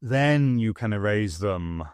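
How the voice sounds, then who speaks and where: A man's voice: on the low side, expressive, slow pace, a little mellow, clean tone, no echo, clean studio sound, pitched below the performer's own voice. A man with an English accent voicing a large, jovial alien warlord who talks in a fruity, pompous way.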